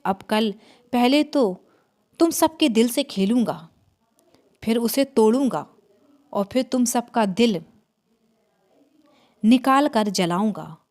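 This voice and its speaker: A woman's voice: a little high, animated, slow pace, pauses often, a little mellow. A woman storyteller voicing a clever, defiant male hero in a horror tale, bold and emphatic. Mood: defiant vow.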